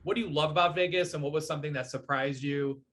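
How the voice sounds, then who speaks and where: Male interviewer, friendly, fillers, slightly high voice. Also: fairly even intonation, fast, fairly bright tone. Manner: friendly, asks questions with fillers. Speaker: interview host. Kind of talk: casual interview.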